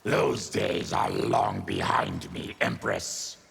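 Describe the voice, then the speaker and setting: Guttural, gravelly monster warrior, very deep. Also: male voice, huge pitch swings, takes it slowly, fairly bright tone, full character voice. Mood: grim. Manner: grave, menacing, formal. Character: feral, diseased monster warrior, a proud brooding former tribune. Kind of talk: video game character acting.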